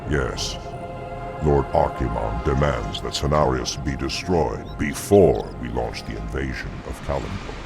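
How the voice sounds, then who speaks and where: An actor playing a cunning demonic dreadlord in a fantasy game, with a gravelly, formal, sinister delivery. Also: male voice, extremely low pitch, unhurried, music in the background, heavily acted. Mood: sinister, persuasive. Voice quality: gravelly, growling. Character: cunning demonic dreadlord, coldly scheming and persuasive. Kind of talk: fantasy video game acting.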